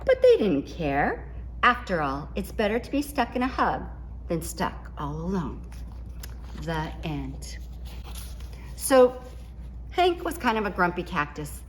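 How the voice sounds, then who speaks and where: A woman reading a picture book aloud to children in an expressive storytelling style. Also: fairly low pitch, slight background noise. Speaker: picture book reader at a school.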